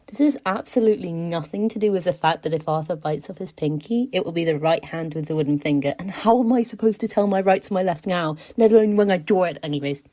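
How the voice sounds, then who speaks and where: A woman's voice: fairly low pitch, quick pace, few pauses, dark tone. A woman giving a casual, persuasive voice message as a listener, with a wry, mock-serious manner. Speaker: listener sending a voice submission.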